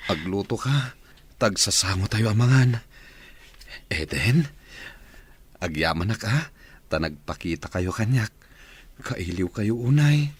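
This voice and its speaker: A man's voice: fairly low pitch, animated, slow, pauses often, husky, full character voice. A man playing an ailing, affectionate husband and father in a Filipino audio drama. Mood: tender, longing.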